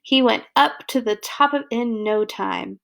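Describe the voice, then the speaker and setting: A woman reads a story aloud for children. Her voice is fairly high and her pace very slow. She narrates expressively, placing deliberate, dramatic emphasis on phrases. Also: vocal fry, fairly bright tone.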